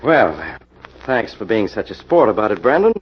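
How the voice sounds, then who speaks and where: A glib dandy of a promoter in a vintage radio mystery. He wheedles in a lowish voice with animated swings, on a muffled, hissy recording. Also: normal speed, husky. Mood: smooth, persuading. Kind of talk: 1940s radio mystery acting.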